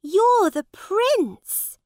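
A woman's voice: extremely high pitch, very animated, extremely slow, a little mellow, dry recording, studio quality, pitched above the performer's own voice, heavily acted. A woman voicing a worried, caring young noble lady in a storybook reading, speaking with anxious concern.

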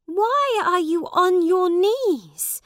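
A woman playing an anxious young court lady with a high, fretful voice. She speaks slowly and with concern, in a dry, close storybook recording. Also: expressive, fairly bright tone, no room sound, heavily acted. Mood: worried. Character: young noble lady, worried and caring. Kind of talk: storybook reading.

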